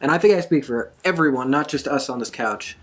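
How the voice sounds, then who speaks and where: An upbeat host runs the casual talk from a couch, rambling a little before landing the point. the voice is mid-pitched, with quick back-and-forth banter. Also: fairly bright tone. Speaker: podcast host. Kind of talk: casual chat. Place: indoors on a couch.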